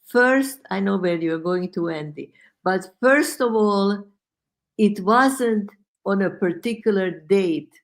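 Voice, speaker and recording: A woman who speaks slowly and thoughtfully, co-hosting a casual podcast. She weighs her words with a skeptical edge, her pitch sitting in a middle range. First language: Hungarian. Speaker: podcast co-host. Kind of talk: casual conversation.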